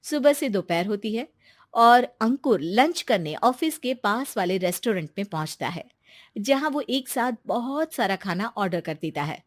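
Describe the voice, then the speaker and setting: A woman narrating an animated moral story in a calm, even storytelling manner. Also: mid-range voice, moderate pace, dry recording, clean studio sound.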